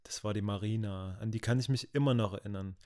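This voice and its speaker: A man's voice: on the low side, quick pace, darkish tone. A man from Germany, co-hosting a casual podcast, asking questions and adding playful asides. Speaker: podcast co-host, interviewer. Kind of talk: casual chat.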